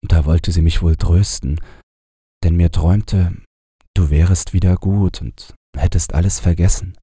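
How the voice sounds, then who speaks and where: An earnest, heartfelt husband from a fairy tale, read by a male storyteller, with a sorrowful weight to him. The voice is low and very husky, measured in its delivery. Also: fairly even intonation, warm tone, no echo, clean studio sound, exaggerated voice.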